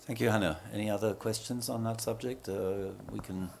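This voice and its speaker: Low gravelly guy moderating a panel, sounds far from the mic, bit of noise. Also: fairly even intonation, fairly quick.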